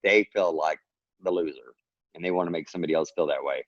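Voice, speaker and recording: A low-voiced man with a drawl talks earnestly in a rambling, encouraging way. His voice comes over a dull, lo-fi video call and sounds dry and close. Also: fast, no room sound. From Texas. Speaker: guest author. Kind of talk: casual interview talk.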